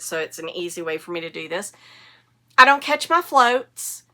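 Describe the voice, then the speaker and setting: Woman, sniffly with a cold, bright tone, chatty rambling podcast host. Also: medium pitch. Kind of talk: casual show-and-tell chat.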